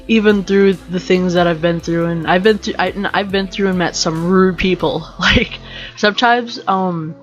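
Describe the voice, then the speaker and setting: Deep-voiced young woman ranting casually. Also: lo-fi sound. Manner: rambling, joking, self-interrupting. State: slightly sick with allergies. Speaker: podcast host. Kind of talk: casual motivational rant.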